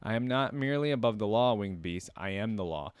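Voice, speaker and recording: Man acting out cruel king, low voice, declamatory, storybook reading, dry sound. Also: expressive, fairly quick, clean tone, no room sound, close to an everyday voice. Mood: arrogant, defiant. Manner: imperious, declamatory. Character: cruel, arrogant king of a starving land.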